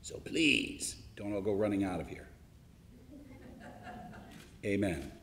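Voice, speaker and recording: A man's voice: on the low side, lively intonation, takes it slowly, fairly bright tone, slight background noise. A man giving formal welcoming remarks at a university lecture, earnest, with small slips and restarts. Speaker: university official opening the event.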